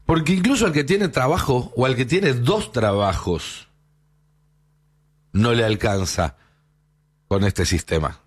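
A man's voice: medium pitch, expressive, measured pace, pauses often, husky. A man with an Argentine accent hosting a political news show, giving opinionated commentary in an emphatic way with rhetorical questions.

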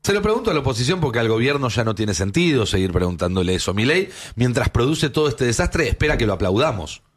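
A man's voice: medium pitch, very animated, fast, slightly rough. A man with an Argentine accent hosting a political news show, giving opinionated commentary in an emphatic way with rhetorical questions.

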